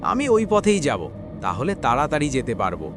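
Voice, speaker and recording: A man's voice: medium pitch, wildly swooping pitch, normal speed, noisy background. A man voicing a village fellow in a cartoon horror story, talking earnestly. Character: village man talking about a haunted path.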